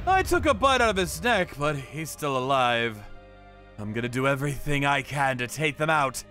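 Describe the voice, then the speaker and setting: Man voicing a brash, forceful young gangster. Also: medium pitch, big pitch swings, normal speed, soft background music. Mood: fired up. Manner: punchy, forceful. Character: brash, hot-headed young male gangster. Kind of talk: animated manga dub dialogue.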